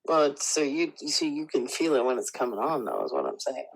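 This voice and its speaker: A woman's voice: very deep voice, big pitch swings, fairly quick. A woman chatting informally on a phone call, curious and full of questions. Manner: informal, inquisitive, hedging with "like" and "you know". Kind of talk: casual chat. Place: phone conversation.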